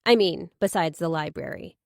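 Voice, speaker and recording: A woman voicing a nosy, excitable female friend in an audiobook, pushy and dramatic, with emphatic, eager questioning. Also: on the low side, moderate pace, creaky, no room sound, studio quality. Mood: amazed, prying. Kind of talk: audiobook character dialogue.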